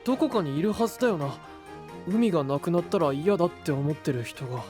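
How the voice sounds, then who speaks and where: A man as an earnest, high-voiced young knight. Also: quick pace, close to an everyday voice. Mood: puzzled, concerned. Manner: youthful, sincere, expressive. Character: earnest, kind, straightforward young hero knight. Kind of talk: Japanese anime-style voice drama. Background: background music.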